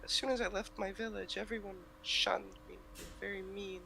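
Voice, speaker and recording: A woman playing a tipsy, wistful oni village trader in a tabletop game, halting and rambling. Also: medium pitch, steady tone, bright, forceful tone, poor audio. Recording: clear background noise.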